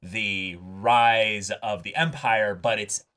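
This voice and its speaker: A man's voice: on the low side, steady tone, slow pace, sharp tone. A man co-hosting a reaction show, explaining his take in casual fan discussion and often voicing imagined quotes.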